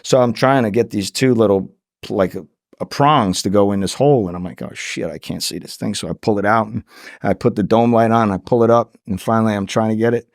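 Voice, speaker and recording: A man's voice: lowish voice, fairly quick, gravelly, no echo, studio quality. A middle-aged man telling anecdotes casually as a podcast guest, acting out the dialogue and swearing freely. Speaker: podcast guest, former undercover agent.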